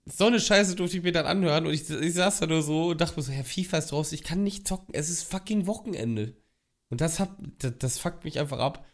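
Young guy, hoarse and sick, grumbles and swears his way through a chat. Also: on the high side, brisk. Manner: rambling, swears freely, complains. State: hoarse from a cold. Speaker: podcast co-host. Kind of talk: casual chat.

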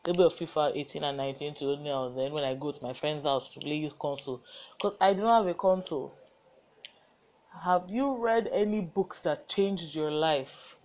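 A man's voice: fairly high pitch, moderate pace, darkish tone, dull audio. A teenage boy with a Nigerian accent, chatting casually through get-to-know-me questions on his own podcast, sounding tired. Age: teens. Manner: chatty, rambling, self-mocking asides. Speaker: podcast host.

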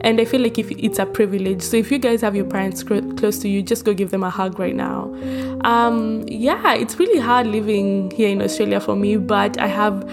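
A woman's voice: mid-range voice, normal speed, music in the background. A young Kenyan woman with a Kenyan accent, hosting a casual solo podcast, blunt and chatty with frequent fillers. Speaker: podcast host.

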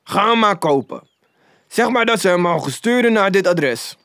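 A man voicing a hearty, exclamatory old man, giddy and generous with a mock-gruff streak, in a storybook reading. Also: sits high, expressive, normal speed, a bit bright, no room sound, clean studio sound, voice pushed up. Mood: excited, delighted.

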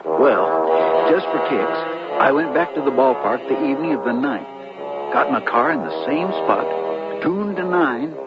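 An actor playing an earnest, excitable CB radio hobbyist who tells his story urgently, stammering, in 1970s radio drama style. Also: mid-range voice, a little slow, creaky. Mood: flustered. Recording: dull lo-fi radio broadcast with music.

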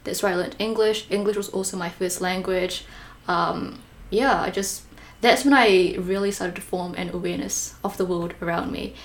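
A young woman from Malaysia, hosting a podcast, talking casually and telling her story clearly and warmly. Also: lowish voice, fairly even intonation, normal speed. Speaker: podcast host.

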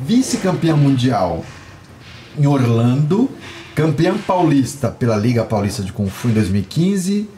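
A man's voice: mid-range voice, darkish tone, slight background noise. A man with a Brazilian Portuguese accent hosting a podcast, joking and laughing as he reads a guest's introduction. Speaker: podcast host. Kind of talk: playful podcast banter.

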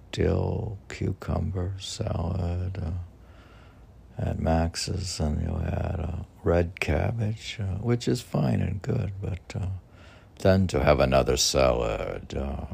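A man talking casually and haltingly, musing and muttering to himself as he reads aloud. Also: deep voice, vocal fry, a little mellow, breathy edge. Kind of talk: casual rambling talk while reading poems aloud.

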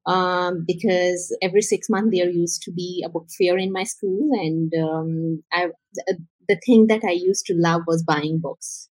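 A woman's voice: fairly low pitch, steady tone, unhurried, a little mellow, dry recording, low-quality recording. A woman from Pakistan with a Pakistani English accent, a podcast guest telling her life story with many hesitations. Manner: hesitant, many ums and uhs. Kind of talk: casual interview storytelling.

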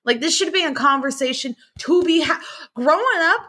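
A woman co-hosting a casual podcast from home over a call, telling stories in an animated, profane, exasperated way. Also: high voice, moderate pace. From Nebraska, USA. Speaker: podcast co-host.

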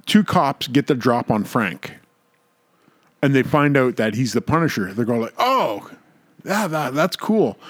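A man's voice: medium pitch, no room sound. A man co-hosting a podcast in casual, opinionated chat, making points emphatically and repeating words for stress. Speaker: podcast co-host.

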